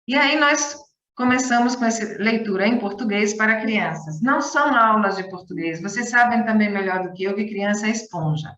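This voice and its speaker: A woman's voice: medium pitch, moderate pace, fairly bright tone. A woman speaks in an everyday mid-range voice, giving a conference talk over a video call. She talks in a chatty way, restarting sentences and repeating words for emphasis. Her voice sounds far from the mic, with a roomy echo and dull, lo-fi call audio. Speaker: conference speaker, volunteer teaching coordinator.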